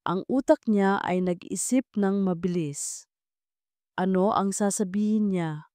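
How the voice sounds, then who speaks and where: Female storyteller for romance audio drama, mellow dark tone, mid pitch, dry close recording. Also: unhurried, clear, resonant tone, no echo. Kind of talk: scripted dramatic story narration.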